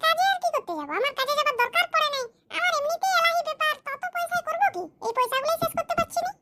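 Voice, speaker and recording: A performer plays a bragging cartoon villager with a squeaky, very high voice. He is smug and pleased with his own cleverness, and he spells out his schemes slowly. A faint hiss sits under the voice. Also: sharp tone. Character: boastful scheming village man, newly married. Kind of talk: cartoon comedy voice acting.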